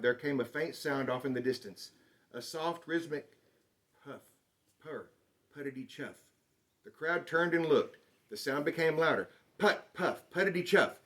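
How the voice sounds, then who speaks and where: A man reading a children's picture book aloud in an expressive, dramatic storytelling manner. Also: a little high, moderate pace. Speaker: storybook reader.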